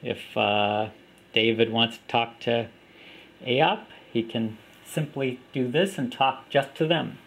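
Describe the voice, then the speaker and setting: Man, mid-pitched, casual app demo, steady and unhurried, camera mic indoors. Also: creaky, slightly rough. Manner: plain, unhurried walkthrough with fillers. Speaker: app developer demonstrating his app. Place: indoors at a table of phones.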